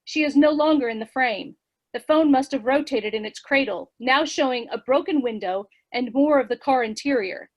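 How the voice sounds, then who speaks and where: Woman for the stage directions, plain read, high voice. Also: normal speed. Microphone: video call.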